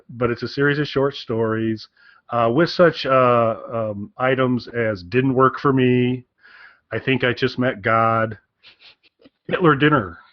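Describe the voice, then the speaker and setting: A man co-hosting a podcast, giving a casual recommendation in a rambling, halting way and often repeating his phrases. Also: mid-range voice, dry recording, highs cut off. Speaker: podcast co-host.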